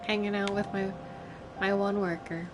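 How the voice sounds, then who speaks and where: A woman's voice: mid-range voice, flat delivery, normal speed, over music, poor audio. A woman game streamer giving casual livestream commentary in an informal, chatty, rambling way.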